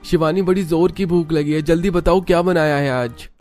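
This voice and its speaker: A man's voice: fairly high pitch, fairly even intonation, normal speed, darkish tone, soft background music, voice pushed up, natural voice. A man voicing a cheerful, doting young husband in a cartoon story, eager and affectionate. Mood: pleased, eager. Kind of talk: Hindi cartoon story dialogue.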